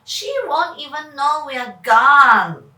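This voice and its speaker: Cheeky young storybook brother, a woman performing: high-voiced, animated, taunting and carefree. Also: takes it slowly, heavily acted. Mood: cheeky, dismissive. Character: bold, cheeky young boy, a dismissive brother. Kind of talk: acted storybook dialogue. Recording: echoey room, far from mic.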